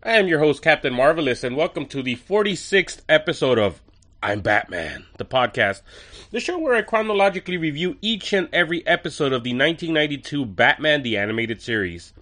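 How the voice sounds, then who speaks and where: A male podcast host running through an episode in a chatty, enthusiastic way with wry asides. His voice is a bit higher than most men's and bright and forceful. He sounds a little stuffy and nasal from a cold. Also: big pitch swings, a little fast. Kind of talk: casual episode review.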